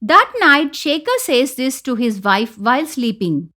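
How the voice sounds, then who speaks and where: A woman's voice: high-pitched, sing-song, unhurried, sharp tone. A woman with an Indian accent reading scripted narration for a moral story as its storyteller.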